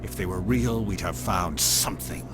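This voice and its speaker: An actor playing a stern, formal alien councillor in a video game, speaking with dismissive authority. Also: male voice, low-pitched, normal speed, close to an everyday voice. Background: music.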